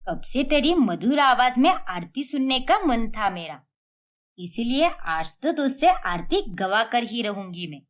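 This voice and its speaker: A woman doing a doting cartoon mother, slow and low. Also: sing-song. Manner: tender, fussing, slow. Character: doting middle-aged mother, warm and fussing.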